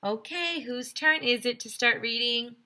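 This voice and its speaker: A woman's voice: a little high, unhurried, pushed and bright, pitched above the performer's own voice. A woman voicing a patient, encouraging male school visitor in a storybook, questioning and coaxing.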